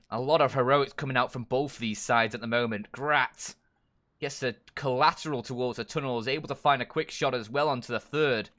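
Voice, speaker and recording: A man casting an esports match live, play-by-play, rising to shouts at big plays. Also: medium pitch.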